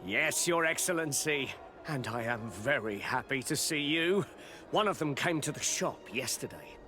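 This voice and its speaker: An actor playing a frightened, deferential merchant in a video game, hesitant and earnest. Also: male voice, medium pitch, expressive, moderate pace, music in the background, natural voice. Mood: grateful. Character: frightened, deferential male shopkeeper, earnest and grateful.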